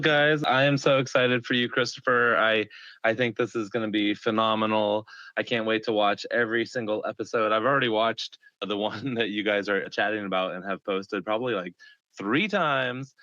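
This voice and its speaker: A man's voice: on the low side, steady tone, normal speed, pushed and bright, no room sound, lo-fi sound. A man chatting casually and enthusiastically as a co-host. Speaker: regular co-host. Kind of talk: casual chat.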